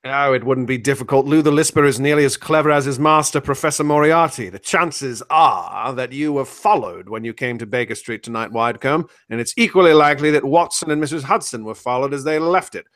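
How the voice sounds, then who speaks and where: A man playing a brisk, bossy, condescending detective who repeats himself, in a loose comic parody of radio drama. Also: medium pitch, fairly bright tone, close to an everyday voice. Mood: brisk, impatient. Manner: halting, repeats himself to correct others.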